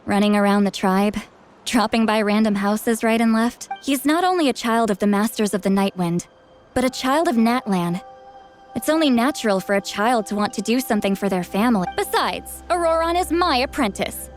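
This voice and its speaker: Woman voicing a tribe mentor lady in a game, kinda high youthful voice, frank and protective, sure of herself. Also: animated, moderate pace, soft background music, lightly acted. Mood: regretful, fond. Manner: candid, informal, self-assured. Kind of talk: video game voice acting.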